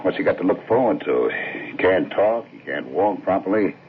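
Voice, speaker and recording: Heard through a narrow old radio band, an American husband and father. His low voice moves in a lively way, folksy and plain-spoken, in 1970s radio drama style. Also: moderate pace, a little hiss or hum. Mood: matter-of-fact.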